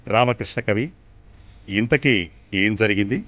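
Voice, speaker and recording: A man voicing an authoritative, regal king holding court in an animated children's story. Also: on the low side, no echo. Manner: commanding, regal. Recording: dry but muffled lo-fi sound.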